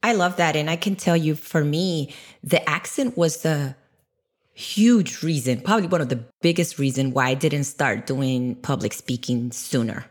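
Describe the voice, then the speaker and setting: A woman's voice: sits low, moderate pace, slightly rough. A woman co-hosting a podcast, speaking in an earnest, reflective, motivational way.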